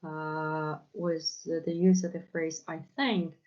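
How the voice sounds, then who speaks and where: A woman's voice: sits low, flat delivery, takes it slowly, a little mellow, dull audio. Hesitant woman with a Polish accent. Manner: hesitant, many fillers and repeated words. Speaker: academic lecturer. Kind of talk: academic conference talk.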